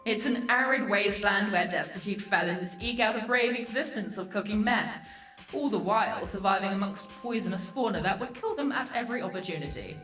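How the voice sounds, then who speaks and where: An actress playing a haughty, seductive succubus demon in a video game, sardonic, florid and condescending. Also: female voice, mid-range voice, a little fast, fairly bright tone, echoey room, distant mic, soft background music, natural voice, low-quality recording. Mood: sardonic, disdainful. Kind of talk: video game character acting.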